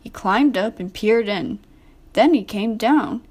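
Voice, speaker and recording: A woman's voice: mid-range voice, takes it slowly, darkish tone, breathy edge. A woman reading a scripted children's story as narrator.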